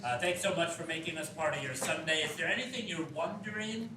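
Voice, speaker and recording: A man's voice: fairly high pitch, fast, reverberant, recorded from a distance, background noise. A man leading a theater workshop, giving easygoing instructions to a group. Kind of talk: guiding a group exercise.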